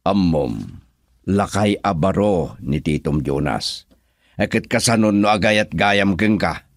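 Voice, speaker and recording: An actor with an Ilocano accent playing a gentle middle-aged father, earnest and halting, in a radio drama. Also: low voice, animated, dry recording. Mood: hesitant, tender.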